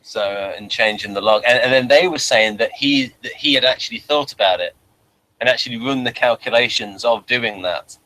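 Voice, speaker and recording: A man co-hosting a casual chat, asking questions in a halting way and repeating his opening words. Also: mid-range voice, pushed and bright, rough recording. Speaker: podcast co-host asking questions.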